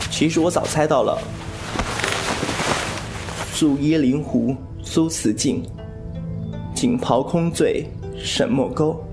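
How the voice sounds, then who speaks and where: A man playing a cheeky, boastful young rogue healer in a Chinese wuxia audio drama, playful and theatrical. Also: medium pitch.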